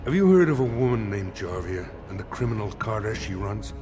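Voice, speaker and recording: An actor playing a dignified, earnest older lord in a fantasy game, courtly, measured and solemn. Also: male voice, on the low side, sing-song. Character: dignified elder lord seeking a throne, earnest. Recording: faint game music behind.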